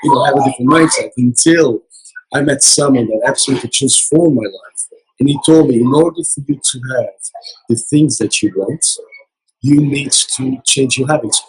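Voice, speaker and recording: A man giving an earnest motivational account as an interview guest, hoarse from losing his voice. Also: medium pitch, moderate pace, rough recording. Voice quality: very husky. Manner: earnest, repetitive motivational talk. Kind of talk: casual interview. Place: casino resort event.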